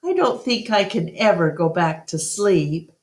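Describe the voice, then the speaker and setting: A woman's voice: fairly low pitch, very animated, measured pace. A woman voicing a curious, sleepy baby elephant girl who pleads with questions in a children's storybook. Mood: curious, pleading. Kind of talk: children's storybook read-aloud.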